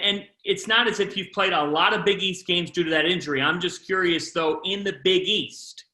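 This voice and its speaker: A man interviewing a college athlete, asking long, lively questions and speeding up and slowing down as he talks. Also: fairly high pitch, echoey room. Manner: lively, shifts pace within questions.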